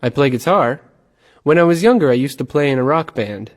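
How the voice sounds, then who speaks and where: Man, scripted lesson dialogue reader, plain and even, mid-pitched. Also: brisk, long reverb tail.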